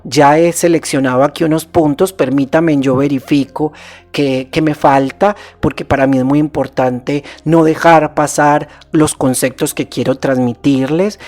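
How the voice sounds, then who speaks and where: Calm man giving advice. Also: on the high side. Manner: gentle, measured, with hesitations and restarts. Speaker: psychologist host.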